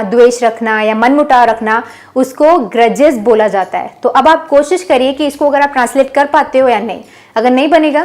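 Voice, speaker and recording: A woman with a high voice teaches English phrases. She explains each one in a patient, steady way, then says the example sentence slowly and deliberately so learners can repeat it. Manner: slow, drawn-out model sentences for learners. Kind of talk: bilingual language lesson.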